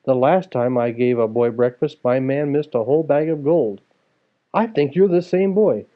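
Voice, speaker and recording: Storybook ogre's wife, voiced by a male reader: sharp tongue, curious, scolding, narrow phone-like sound. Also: mid-range voice, moderate pace, lightly acted. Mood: scolding, suspicious.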